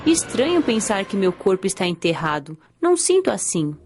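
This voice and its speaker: A woman's voice: medium pitch, sing-song, normal speed, darkish tone, husky, soft background music. A woman playing an earnest, curious young female spirit, telling of her afterlife in Brazilian Portuguese audiobook narration. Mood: curious, content.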